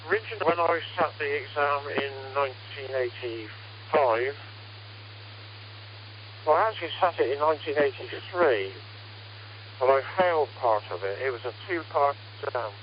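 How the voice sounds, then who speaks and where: A man's voice: mid-range voice, animated, normal speed, long pauses. An older man with a British accent chatting casually as an amateur radio operator over a radio link. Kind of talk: casual radio contact chat. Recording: muffled, noisy, humming ham radio transmission. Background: radio static and hum.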